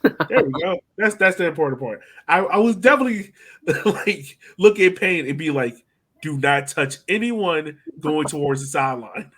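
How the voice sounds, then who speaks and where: A man's voice: a little high, expressive, normal speed, a bit bright, breathy edge. A man hosting a sports podcast, talking casually and putting questions to his co-hosts. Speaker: podcast host.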